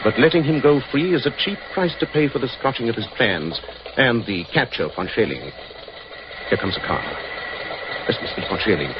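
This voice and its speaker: Male actor as resolute sleuth, authoritative and formal, very varied pitch, vintage radio drama. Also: expressive, brisk, natural voice. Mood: firm resolve. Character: shrewd, commanding gentleman detective, stern and resolute. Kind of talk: 1940s radio drama acting. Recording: dull, noisy 1940s radio recording.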